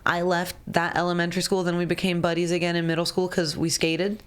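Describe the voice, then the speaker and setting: A young woman in casual conversation, teasing and playful, speaking in a relaxed slangy way. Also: on the low side, fast talker, clear, resonant tone. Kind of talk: casual chat.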